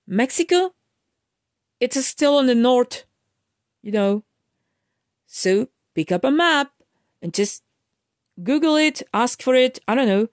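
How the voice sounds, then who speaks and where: A woman's voice: medium pitch, darkish tone, no room sound, clean studio sound. A woman from Argentina, talking in a casual, emphatic vlog rant, lecturing her viewers with many restarts and fillers. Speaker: vlogger. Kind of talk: casual ranting monologue.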